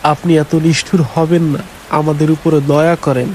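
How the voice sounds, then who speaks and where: A man's voice: fairly high pitch, flat delivery, moderate pace, darkish tone, lightly acted. Man acting a plaintive, worried village farmer. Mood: pleading. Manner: plaintive, lamenting. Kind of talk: animated folk tale acting. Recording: faint hiss and rain noise.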